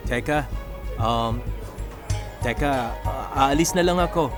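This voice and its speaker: A man playing a slow-spoken, humble young janitor. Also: medium pitch, natural voice. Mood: hesitant, apologetic. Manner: hesitant, deferential. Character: humble, polite, hesitant young male janitor. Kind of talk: Filipino radio drama acting. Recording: music bed under dialogue.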